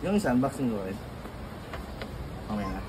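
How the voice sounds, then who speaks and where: A man's voice: mid-range voice, big pitch swings, normal speed. A man chatting casually through an unboxing vlog, laughing often and filling gaps with "ah". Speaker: moto vlogger. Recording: noisy, vehicle sounds behind.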